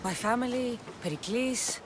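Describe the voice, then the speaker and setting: A woman's voice: fairly high pitch, normal speed, background noise, close to an everyday voice. An actress voicing a determined young female mercenary hero, firm and earnest in a video game cutscene. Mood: resolute. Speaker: game protagonist. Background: music.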